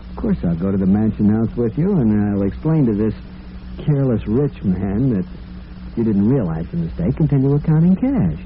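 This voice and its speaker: Earnest gentleman, noisy vintage radio. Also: lowish voice, big pitch swings, moderate pace, phone-quality sound. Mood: reassuring. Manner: earnest, courteous, reassuring. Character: kind, earnest bachelor wooing a young woman. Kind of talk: old-time radio drama acting. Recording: humming, noisy old radio recording.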